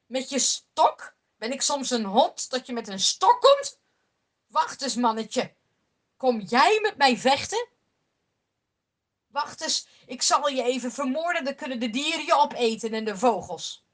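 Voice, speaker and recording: A woman voicing a boastful, threatening giant warrior in a told Bible story. He booms and mocks in loud, rising bursts. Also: sing-song, a little slow, exaggerated voice. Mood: bellowing taunt. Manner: booming, mocking.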